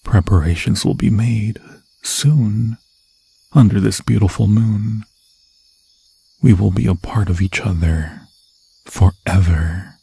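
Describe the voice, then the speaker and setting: Man voicing a husky, slow, lovesick demon lord. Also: lowish voice, mellow, no room sound, full character voice. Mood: possessive, hushed. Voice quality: husky, breathy, often dropping into whisper. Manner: slow, grandiose, seductive villain phrasing. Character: obsessed, lovesick male demon lord, menacing and possessive. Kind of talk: ASMR fantasy horror roleplay, theatrical monologue. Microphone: close, dry microphone.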